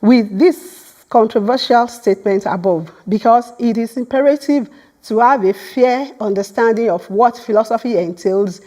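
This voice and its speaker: A woman's voice: mid-range voice, warm tone. Earnest woman giving an academic lecture. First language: Yoruba. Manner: earnest, repeats words when starting a phrase. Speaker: guest lecturer. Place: lecture hall.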